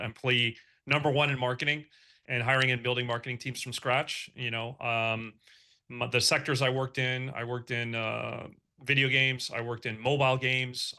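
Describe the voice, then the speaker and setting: A man's voice: mid-range voice, bright, forceful tone. A man from Belgium with an American accent, answering interview questions in a thoughtful way, with a lot of um and you know. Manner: thoughtful, with many fillers and restarts. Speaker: guest, marketing executive.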